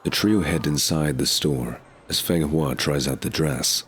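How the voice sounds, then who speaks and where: A man's voice: a bass voice, unhurried, slightly rough, exaggerated voice. A man narrating a scripted audio drama in the present tense, as a storyteller. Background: music.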